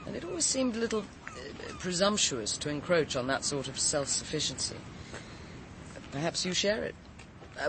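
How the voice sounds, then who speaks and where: A woman's voice: fairly low pitch, noisy background. An actress playing a poised, sociable countrywoman in a radio drama, speaking politely and articulately with slight hesitations in a British accent. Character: poised, sociable, frank countrywoman, a writer and cook.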